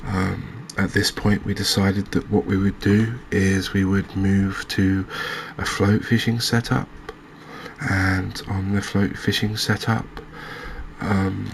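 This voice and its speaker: A soft-spoken man narrating a gaming recap in a calming, hesitant ASMR style. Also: low voice, slight background noise. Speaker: host of a cozy gaming channel.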